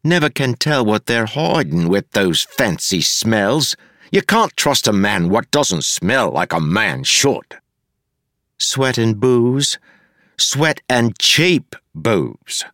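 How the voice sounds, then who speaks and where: A man doing a crude, grumbling young constable who complains in rough, folksy speech. His pitch rises above the reader's own and swings about. The sound is close and dry. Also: sing-song, slow, a bit bright, no room sound, exaggerated voice. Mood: cheeky.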